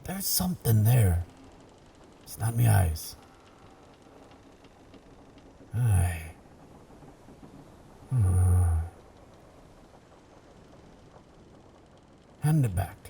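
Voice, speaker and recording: A dark, mellow, gravelly voice for a werewolf pirate captain, acted by a man. He is gruff and curious, musing slowly in salty seafaring talk with a stage pirate accent. Faint noise sits behind this roleplay recording. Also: very animated, exaggerated voice. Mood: curious, puzzled. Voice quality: low, gravelly. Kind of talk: roleplay audio drama.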